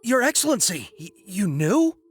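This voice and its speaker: A man's voice: sits high, very animated, normal speed, breathy edge, full character voice. A man voicing an agitated, distrustful soldier in a video game, with a strained, impassioned delivery. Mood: taken aback. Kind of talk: scripted video game voice acting.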